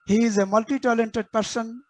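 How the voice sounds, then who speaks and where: A woman's voice: on the high side, fairly even intonation, moderate pace, darkish tone, no echo. Formal woman hosting a video meeting. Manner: formal, calling on attendees by name. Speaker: meeting host. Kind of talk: online meeting introductions. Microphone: video call.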